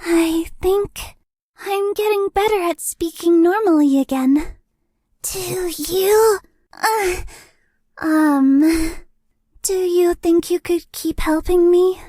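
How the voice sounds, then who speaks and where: A woman's voice: very high-pitched, slow pace, warm tone, no room sound, clean studio sound. A woman voicing a shy, sweet, lovestruck young ghost girl for a dating game, with a cutesy, bashful manner. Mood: bashful, grateful. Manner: cutesy, bashful, gushing, with hesitant breathy asides. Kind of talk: dating game character voice lines.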